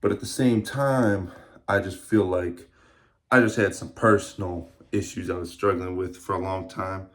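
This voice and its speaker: A man's voice: deep voice, steady tone, moderate pace. A man in his early twenties talking casually to camera in a rambling, reflective vlog with many fillers. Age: 20s. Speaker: fitness vlogger.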